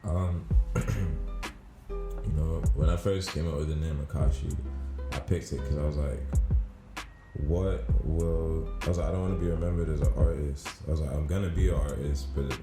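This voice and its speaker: A young man with a very deep voice talks in a loose, slangy way, leaning on easy filler phrases. The sound is roomy and echoey, with music playing clearly underneath. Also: steady tone, normal speed. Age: 20s. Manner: laid-back, slangy, repeats "you know what I'm saying". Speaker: rapper guest. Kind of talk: casual interview chat. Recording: echoey studio with music behind.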